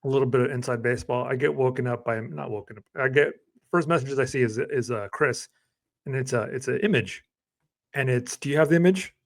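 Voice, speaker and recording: A man's voice: medium pitch, brisk, creaky. Male podcast co-host, easygoing, chatty, lots of "uh" and "like". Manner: relaxed, rambling, filler-heavy. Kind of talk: casual podcast chat.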